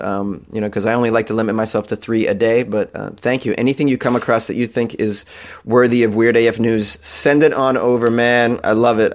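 A young man running a comedy podcast in a loose, joking way. His voice sits at an ordinary pitch, and he breaks into laughs often. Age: young adult. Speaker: podcast host. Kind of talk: casual comedic monologue.

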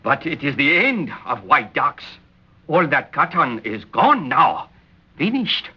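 A man's voice: on the high side, very animated, slow, breathy edge, exaggerated voice. An actor in an old radio drama playing a polite, deferential island tailor who is proud of his craft. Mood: proud, apologetic. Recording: muffled old radio recording.

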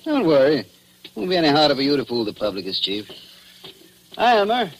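An actor playing a small-town police chief, dry and clipped, in 1940s radio drama style. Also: male voice, a little high, wildly swooping pitch, a little fast. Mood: dry, teasing. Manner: wry, clipped, unruffled. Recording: faintly noisy old radio recording.

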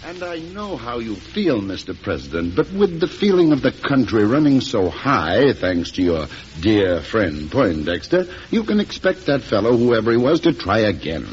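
An actor playing an earnest, wary political adviser, urgent yet deferential, in an old radio drama. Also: male voice, lowish voice, very animated, moderate pace, few pauses. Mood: warning. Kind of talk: 1940s radio drama acting. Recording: dull old radio recording.

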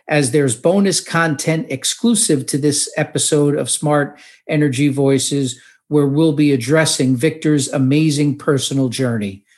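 A man's voice: mid-range voice, darkish tone. A man hosting a podcast, reading a scripted, upbeat introduction. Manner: upbeat, read-aloud delivery. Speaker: podcast host.

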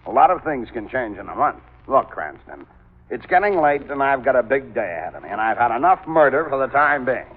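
An actor playing a stubborn police commissioner, brusque, dismissive and smug, in a 1940s radio drama. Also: male voice, mid-range voice, very animated, fairly quick, slightly rough. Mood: impatient. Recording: muffled vintage radio recording.

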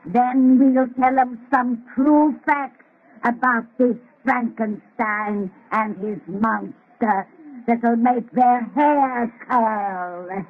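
A woman's voice: a little high, very slow. An actress playing a gleeful, folksy hundred-year-old witch who tells horror stories on an old radio show. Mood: gleeful, sinister. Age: older. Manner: folksy, rustic, gloating. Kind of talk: 1930s radio horror host acting. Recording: thin, tinny old radio recording.

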